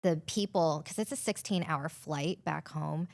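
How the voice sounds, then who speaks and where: A woman's voice: low-pitched, unhurried, creaky. A woman in her forties co-hosting a podcast, chatting casually and telling a personal story full of "like" fillers. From Hawaii and California. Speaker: podcast co-host.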